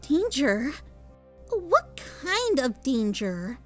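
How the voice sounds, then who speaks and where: A woman doing a bright, curious young filly. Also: high-pitched, big pitch swings, drawn-out words, soft background music, pitched above the performer's own voice, exaggerated voice.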